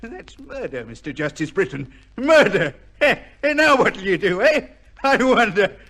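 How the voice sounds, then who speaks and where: An actor playing a cold, ruthless, vengeful son with a theatrical, taunting manner in an old-time radio drama. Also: male voice, sits high, big pitch swings, moderate pace, a bit bright, exaggerated voice. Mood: gloating. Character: bitter, vengeful illegitimate son, cold, ruthless, with heart trouble.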